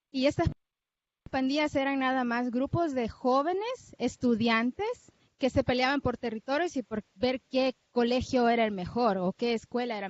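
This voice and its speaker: A woman's voice: on the high side, no echo. A woman from El Salvador with a Salvadoran accent, speaking as a remote seminar participant and giving a personal account. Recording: dull remote-link sound.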